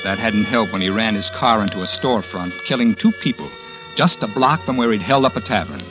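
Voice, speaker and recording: A man's voice: fairly low pitch, expressive, fairly quick, close to an everyday voice, rough recording. A man playing a bitter, self-absorbed novelist who narrates and talks in an old radio drama, with a brooding tone. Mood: cynical. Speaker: struggling novelist narrating his story. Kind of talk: 1940s radio drama acting. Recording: old radio broadcast with music bed.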